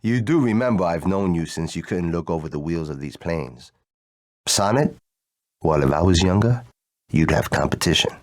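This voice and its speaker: Older male mechanic for a game: low-pitched, folksy, teasing, relaxed old-friend manner. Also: moderate pace. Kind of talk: game cutscene dialogue.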